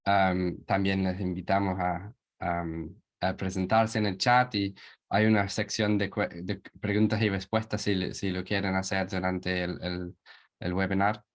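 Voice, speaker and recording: A man's voice: low voice, level tone, a little slow. A man from England with an English accent presenting a webinar in a second language, hesitant and searching for words.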